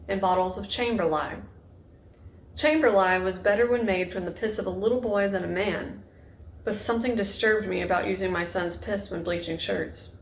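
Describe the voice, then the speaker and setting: A woman author giving a dramatic reading of a narrative story in a creaky voice. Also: medium pitch. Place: indoors in a bookshop. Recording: echoey room, faint noise.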